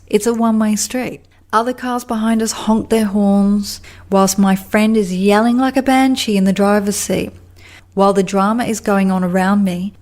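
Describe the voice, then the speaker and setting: A woman's voice: medium pitch, a little mellow. A middle-aged Australian woman hosting a podcast, telling a personal story in an earnest, reflective way and asking rhetorical questions. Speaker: podcast host, communication coach.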